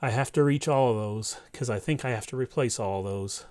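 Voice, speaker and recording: Man, medium pitch, casual repair narration, thinking aloud, addressing the viewer, hands-on video host. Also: a little fast, darkish tone.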